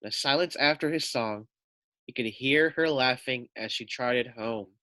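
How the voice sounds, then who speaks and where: A man's voice: medium pitch, measured pace, sharp tone, no room sound, low-quality recording. A man reading a children's story aloud expressively, with simple explanatory asides for young listeners.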